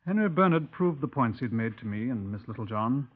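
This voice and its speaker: On a tinny, narrow-band old radio recording, a stern police inspector of mid-range voice. He is measured, dry and formal, methodically working through a case in 1950s radio drama style. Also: mid-range voice, expressive, breathy edge. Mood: grave, dry. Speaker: narrator and detective character.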